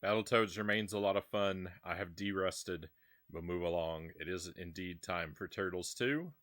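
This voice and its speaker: A man's voice: sits low, moderate pace, a bit bright, no echo. A man streaming a retro game live, chatting casually and self-deprecatingly, often restarting his sentences. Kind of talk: casual live-stream chat while gaming.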